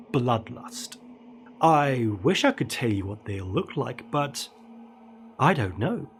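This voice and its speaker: A man in his thirties tells a creepypasta with theatrical menace. His mid-pitched voice swings widely, leaning hard on key words and then dropping to hushed tones. A dark ambient bed and some noise sit behind him. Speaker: horror storyteller, show host. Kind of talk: dramatic creepypasta narration. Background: dark ambient music.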